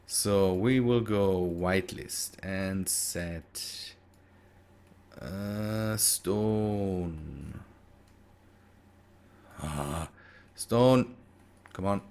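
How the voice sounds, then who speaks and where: A man's voice: low-pitched, extremely slow, pauses often, a little mellow, clean tone. A man with a German accent and German as his native language, giving casual gameplay commentary in a hesitant, thinking-aloud way with self-corrections. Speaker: gaming video host.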